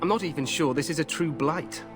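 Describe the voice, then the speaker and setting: An actor playing a confident, glory-hungry young king in a fantasy game, regal, grand and expressive. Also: a little high, fairly quick, over music, natural voice. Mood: cocky, eager. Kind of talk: fantasy video game acting.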